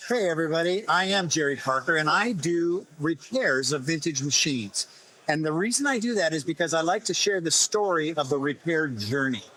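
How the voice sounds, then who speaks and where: A man with a slightly hoarse voice, explaining his vintage repair work conversationally in an interview, often restarting phrases. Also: fairly high pitch, animated, moderate pace, sharp tone, rough recording. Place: busy festival exhibit table.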